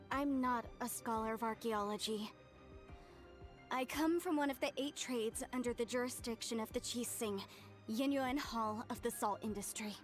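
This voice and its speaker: A woman's voice: high-pitched, close to an everyday voice. An actress playing an earnest, zealous young woman in a video game, with a formal, impassioned manner. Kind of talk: English game voice acting.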